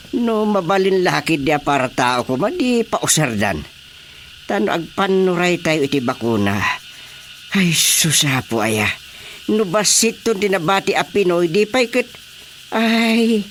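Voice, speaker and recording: An actor playing an easygoing, wry and skeptical Filipino villager in a radio drama comedy. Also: male voice, sits high, a bit bright, a little hiss or hum.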